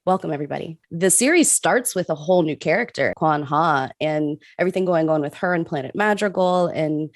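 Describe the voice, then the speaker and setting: A woman's voice: low-pitched, a little fast, hardly any pauses, no echo. Woman hosting a panel, dry sound. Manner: upbeat, scripted introductions. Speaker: host.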